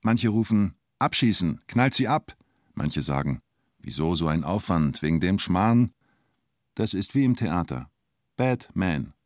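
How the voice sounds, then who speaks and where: Deep-voiced male storyteller. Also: very animated, unhurried, a little mellow, no room sound, clean studio sound. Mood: wry, observing. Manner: colloquial, clipped short phrases. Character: male storyteller recalling the bank siege, wry and colloquial. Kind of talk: scripted radio drama narration in present tense.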